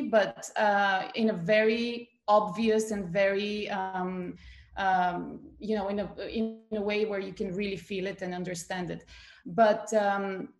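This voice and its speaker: A woman from Bulgaria answers interview questions in a thoughtful, searching way, pausing on fillers and restarting her sentences. Her voice has a gravelly fry to it. The recording sounds like an echoey room. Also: mid-range voice. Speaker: guest. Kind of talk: conversational interview.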